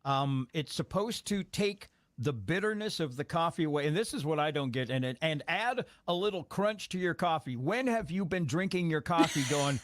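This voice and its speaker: A man's voice: a little high, animated, moderate pace, hardly any pauses, no echo. A man hosting a radio morning show, chatting casually and self-deprecatingly while tasting food in the studio. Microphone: studio microphone.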